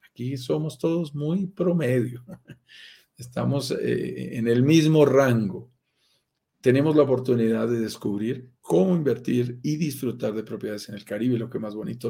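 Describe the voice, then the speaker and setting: A Colombian man hosting a live stream, pitching property investment in an upbeat sales manner with frequent fillers. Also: gravelly, warm tone. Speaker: host, sales director of a property firm.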